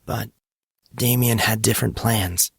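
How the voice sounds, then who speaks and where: A man voicing a perceptive, earnest teenage schoolboy in audiobook dialogue, speaking deliberately. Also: on the low side, fairly even intonation, creaky, husky, no room sound, studio quality, exaggerated voice. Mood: measured, knowing. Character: teenage schoolboy, perceptive, playful, hurt but kind.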